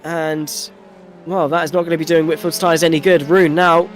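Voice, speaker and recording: Higher-pitched man commentating on motor racing. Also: little pitch movement, moderate pace, slight background noise. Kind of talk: live sim-racing commentary with casual banter.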